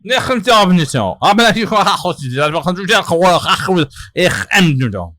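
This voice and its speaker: A man voicing an agitated foreign hotel guest for a prank call, babbling haltingly with many interjections. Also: sits high, very animated, sharp tone, full character voice.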